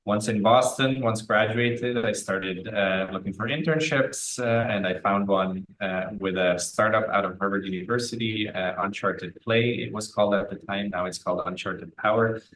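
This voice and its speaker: A man in his thirties from Italian-speaking Switzerland, telling his story as an interview guest in a measured, articulate way. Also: fairly low pitch, fairly bright tone, poor audio. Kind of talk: conversational personal storytelling.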